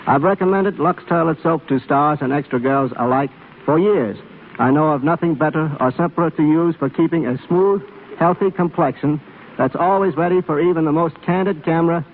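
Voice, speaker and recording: A man's voice: on the high side, normal speed. Formal man on thin, hissy old radio. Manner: formal, explanatory, carefully phrased. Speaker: film cameraman guest. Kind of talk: scripted 1930s radio interview talk. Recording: scratchy, tinny 1930s radio broadcast.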